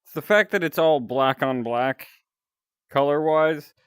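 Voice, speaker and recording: A man with a deep, announcer-like voice, chatting casually with viewers while he plays a game. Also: a little slow, clear, resonant tone, no echo, studio quality. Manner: relaxed, rambling, chatting to viewers. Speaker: streamer.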